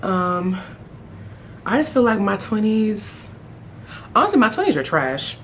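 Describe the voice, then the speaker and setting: A woman in her thirties chatting casually as a beauty vlogger while doing her makeup, playful, with sudden high exclamations. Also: big pitch swings, unhurried, a little hiss or hum. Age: 30s. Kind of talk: casual get-ready-with-me chat.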